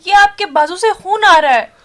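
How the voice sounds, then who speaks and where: An actress playing a caring, dutiful young daughter in a radio drama, speaking earnestly and gently. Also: female voice, very high voice, lively intonation, moderate pace, exaggerated voice.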